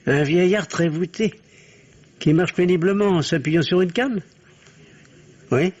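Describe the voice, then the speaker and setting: A man's voice: sits high, unhurried, darkish tone, breathy edge. An actor playing an anxious, evasive middle-aged family doctor and father in an old-style radio drama, hesitant and theatrical. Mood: curious, flustered. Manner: hesitant, theatrical, repeats phrases reassuringly. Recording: muffled old radio recording.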